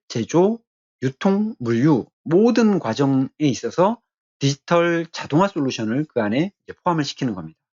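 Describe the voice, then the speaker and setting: A male technical instructor lectures in a mid-pitched, husky voice. He explains in a deliberate, halting way, pausing often between short phrases. The recording sounds slightly dull and muffled.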